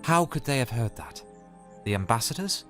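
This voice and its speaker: A man's voice: medium pitch, big pitch swings, normal speed, fairly bright tone, soft background music. A man playing a good-natured, earnest knight commander in a fantasy audio drama. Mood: wry.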